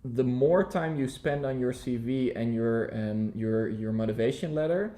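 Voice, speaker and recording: A man's voice: mid-range voice, moderate pace, dark tone. A man hosting a casual interview, searching for words and thinking aloud with analogies. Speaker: host.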